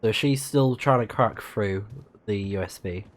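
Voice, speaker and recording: A man's voice: lowish voice, measured pace. A man giving casual let's play commentary on a story game, murmuring reactions and reading on-screen text aloud. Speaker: streamer playing a story game.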